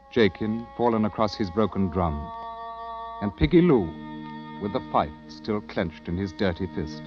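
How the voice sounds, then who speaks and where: Actor as a low, sombre war correspondent. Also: male voice, natural voice. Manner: grave, measured. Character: sombre British war correspondent recalling a battle. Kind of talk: scripted radio drama narration. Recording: dull 1940s radio recording with music.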